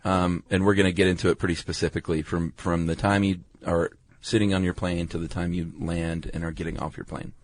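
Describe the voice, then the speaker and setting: Male podcast co-host with a deep voice, laid-back colloquial explainer, recorded close and dry. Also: level tone, brisk, hardly any pauses, no room sound. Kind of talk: casual explanatory chat.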